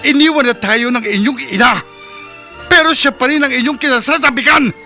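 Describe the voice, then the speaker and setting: A man's voice: extremely high pitch, brisk, pushed and bright, faint music behind, heavily acted. An actor playing a bitter, wounded middle-aged husband and father betrayed by his wife, emotional and accusing, in a radio drama. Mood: hurt, pleading. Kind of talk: Tagalog radio drama acting.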